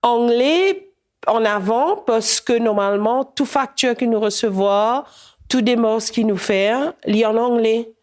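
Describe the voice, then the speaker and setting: Slow, blunt woman from Mauritius. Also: on the high side. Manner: blunt, searching for words. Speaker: interviewee, work colleague. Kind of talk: casual interview answer.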